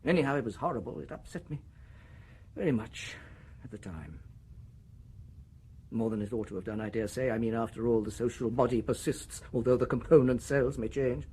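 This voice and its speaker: An actor playing a stern, pompous middle-aged male director in a radio drama, husky and formal. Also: medium pitch, expressive, a little fast. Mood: reminiscing. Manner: reproving, then drifting into a hushed memory. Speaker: Director, a superior official. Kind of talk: 1990s radio drama acting.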